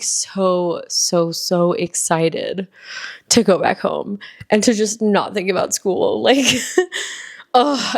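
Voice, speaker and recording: A woman's voice: mid-range voice, darkish tone. A young woman in her early twenties, from Miami, chatting casually as a solo podcast host, rambling with lots of ums and likes. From Miami, Florida.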